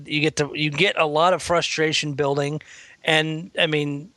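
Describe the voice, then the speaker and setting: Bright-voiced man in his thirties. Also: on the high side, level tone, no room sound. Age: 30s. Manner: measured, reflective longtime fan. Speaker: podcast co-host. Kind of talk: casual sports chat.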